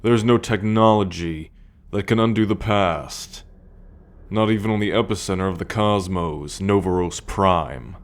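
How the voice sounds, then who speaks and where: A man playing a sardonic, coolly possessive male alien captor in an ASMR roleplay, speaking deliberately with a teasing condescension. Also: low-pitched. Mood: stern, resigned. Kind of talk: ASMR roleplay monologue addressed to the listener.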